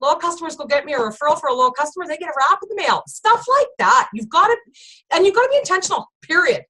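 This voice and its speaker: A woman with a high, bright, sharp voice that swings widely in pitch. She gives her sales team a casual, motivating pep talk. She is emphatic and keeps turning to her listeners. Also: fast, rough recording. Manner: emphatic, animated, keeps addressing listeners as "you guys".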